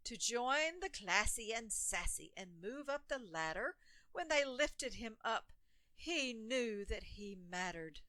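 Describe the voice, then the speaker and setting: A woman's voice: a little high, expressive, slow pace, sharp tone, no echo. A woman reading a rhyming children's picture book aloud in a theatrical, emphatic storytelling manner.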